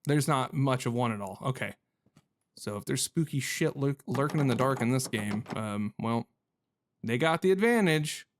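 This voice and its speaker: A man's voice: mid-range voice, lively intonation, moderate pace, a little hiss or hum. A man giving casual, reactive gameplay commentary in a rambling, jokey manner with goofy mild exclamations. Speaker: gamer hosting a playthrough video.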